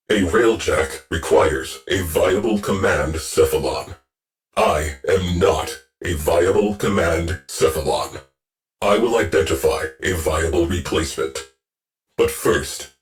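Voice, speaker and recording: An actor playing a gruff male ship AI that gives clipped, deadpan military orders to a warship crew in a video game. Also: sits low. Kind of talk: game voice lines.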